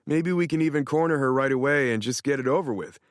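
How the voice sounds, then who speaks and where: A man's voice: medium pitch, quick pace, no echo, clean studio sound, pitched above the performer's own voice, close to an everyday voice. A man voicing a boastful, eccentric older man who talks with brash self-assurance. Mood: bossy.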